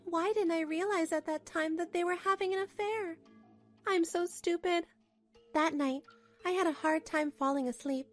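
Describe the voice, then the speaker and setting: Woman narrating manga drama, super high voice, chatty and dramatic. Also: steady tone, normal speed, soft background music. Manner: chatty, dramatic inner monologue. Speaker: narrator and wife.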